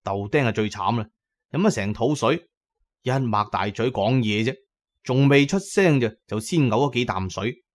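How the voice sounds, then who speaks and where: A man with a Cantonese accent narrates an adventure audio drama in an expressive, suspenseful storytelling style. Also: medium pitch, moderate pace. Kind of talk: dramatic scripted storytelling in the first person.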